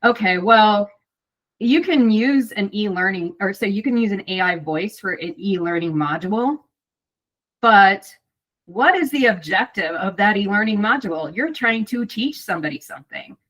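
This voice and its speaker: A woman's voice: medium pitch, lively intonation, normal speed, fairly bright tone. A middle-aged woman, an audiobook narrator and voice actor, chatting casually in an interview, talkative with many fillers and restarts.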